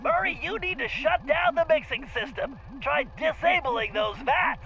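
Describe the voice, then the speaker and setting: A piercingly high, bright, nasal voice for a brainy cartoon turtle. He is fussy and earnest, laying out every word precisely like a game's anxious strategist, with a creaky edge. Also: lively intonation, moderate pace, dull audio, faint music behind, heavily acted. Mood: earnest, commanding. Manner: precise, wordy, fussy briefing. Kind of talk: cartoon video game acting.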